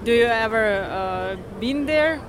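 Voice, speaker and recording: Brief: street interviewer, non-native English, loose grammar, mid-pitched, crowd and traffic behind. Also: lively intonation, measured pace.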